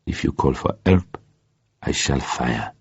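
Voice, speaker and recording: A menacing French gunman, as a male reader plays him, speaks in a very low, hushed voice with a French accent. He is confident and urgent, his threats husky. The radio-drama recording is dull. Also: expressive, slow pace, dry recording, heavily acted. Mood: quietly menacing. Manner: soft, urgent, threatening murmur.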